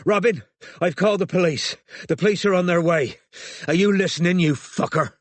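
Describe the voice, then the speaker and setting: A man voicing a gruff, frantic male investigator in an audiobook, urgent and quick to swear and bellow. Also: a little high, lively intonation, a bit bright, dry recording, voice pushed up. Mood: bellowing, desperate. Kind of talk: audiobook character acting.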